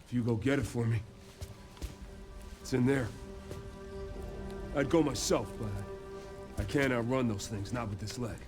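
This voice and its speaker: A man plays a hard-bitten survivor with a bad leg in a video game. He talks bluntly and informally at a middling pitch, gruff and ready to bargain. Music plays beneath his voice. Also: steady tone, a little slow, long pauses, lightly acted. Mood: guarded. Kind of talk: video game cutscene acting. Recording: music under the voice.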